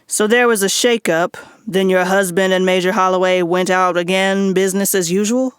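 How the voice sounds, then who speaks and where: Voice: medium pitch, steady tone, normal speed, smooth, dry recording, clean studio sound, close to an everyday voice. An actor playing a wry, streetwise private detective and war veteran in a noir audio drama, with a folksy hardboiled way of talking. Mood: businesslike. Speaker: lead character and narrator. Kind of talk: noir radio drama dialogue and narration. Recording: music under the voice. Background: background music.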